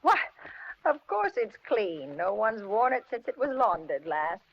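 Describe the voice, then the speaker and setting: A woman's voice: medium pitch, very animated, unhurried. An actress playing a kindly, fussing older landlady in a 1950s radio suspense drama. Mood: cheerful, dismissive. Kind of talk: 1950s radio suspense acting and first-person narration. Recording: dull, noisy 1950s radio recording.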